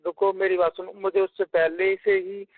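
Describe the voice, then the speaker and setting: A man's voice: high-pitched, little pitch movement, normal speed, crowd noise. A young man with a rural western Uttar Pradesh accent, arguing defensively on a phone call and insisting on being heard. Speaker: caller in a family dispute. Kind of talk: heated phone argument. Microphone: telephone. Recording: narrowband phone call recording.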